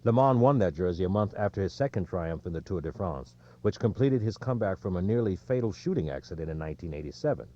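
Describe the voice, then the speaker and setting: A man's voice: deep voice, expressive, fast talker, hardly any pauses, warm tone. A man reading sports non-fiction aloud as scripted audiobook narration. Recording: dull, lo-fi audio.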